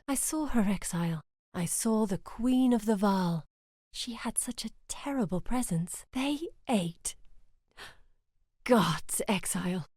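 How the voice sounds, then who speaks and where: A haunted, earnest woman from a fantasy video game, played by an actress. She speaks in measured, dramatic phrases at a middle pitch, with a wry streak under the gravity. The recording is dry and close. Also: darkish tone, no echo, lightly acted. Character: Zana, grave adult woman guide to exiles, haunted yet wry. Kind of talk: scripted fantasy game voice acting.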